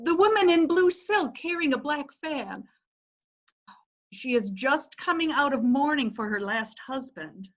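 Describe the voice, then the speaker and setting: A woman's voice: sits high, expressive, measured pace. A woman reading a chatty, gossipy society matron in a stage reading. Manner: gossipy and eager. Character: chatty society matron Mrs. Payne Dexter. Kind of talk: readers theatre reading.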